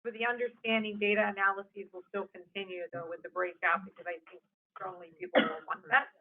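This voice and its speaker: A meeting chair presiding in a brisk, firm manner, calling the roll and moving the agenda. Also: mid-range voice, expressive, vocal fry. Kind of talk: presiding over a public meeting. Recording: tinny phone-like meeting audio with faint hiss.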